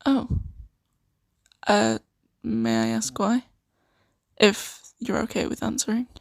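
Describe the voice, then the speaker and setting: A woman improvising an ASMR roleplay as a kindly, earnest host of a fantasy sanctuary, speaking softly and reassuringly with some hesitation. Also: on the high side, gravelly, no room sound, clean studio sound, heavily acted. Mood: sympathetic, careful. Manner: soft-spoken, gently hesitant, reassuring.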